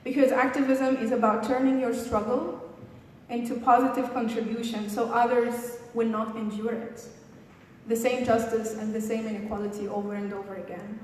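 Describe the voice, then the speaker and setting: A woman's voice: a little high, flat delivery, moderate pace. A young woman from Tunisia with a Tunisian accent gives a motivational speech, addressing her audience directly. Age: young adult. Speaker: keynote speaker at a youth summit. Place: large indoor hall at a summit. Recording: echoey hall, long reverb, background noise.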